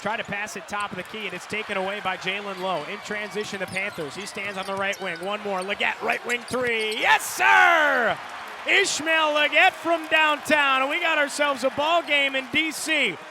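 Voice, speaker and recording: An American man doing live radio play-by-play of a basketball game in clipped, energetic phrases. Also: high-pitched, normal speed. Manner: clipped phrases, builds to excited calls on big plays. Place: courtside in a large indoor arena. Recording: live arena broadcast, loud court noise behind. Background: loud arena noise, bouncing basketball.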